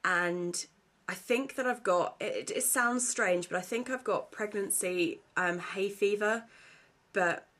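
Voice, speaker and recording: A woman's voice: mid-range voice, slow, fairly bright tone. Chatty congested young woman. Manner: rambling and chatty, full of fillers. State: congested, blocked nose. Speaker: vlogger. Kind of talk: casual chat to camera.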